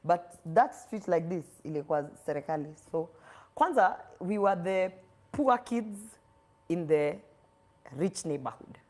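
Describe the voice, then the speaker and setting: A woman's voice: on the low side, animated, unhurried, darkish tone. A middle-aged woman from Nairobi with a Kenyan accent, a guest chatting candidly and animatedly in English and Swahili. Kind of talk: casual interview chat.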